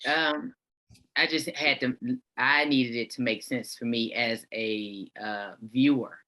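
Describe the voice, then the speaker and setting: Low, bright-voiced woman thinking aloud. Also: fairly even intonation, slow. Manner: informal, searching, thinking aloud. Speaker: actor at a table read. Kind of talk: casual group discussion. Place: indoors on a virtual meeting. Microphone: video call.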